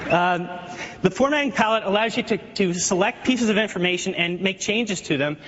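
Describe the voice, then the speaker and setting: A man giving a live software demo on a keynote stage, eager and restarting words as he goes. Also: sits high, lively intonation, normal speed, noisy background. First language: not French. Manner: eager, repeats words while demoing. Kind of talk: live product demo presentation. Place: large conference hall. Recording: lo-fi hall recording with crowd.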